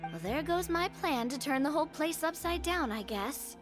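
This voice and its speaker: A woman playing a character in the game, dry, deadpan and unbothered. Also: sits high, moderate pace, over music.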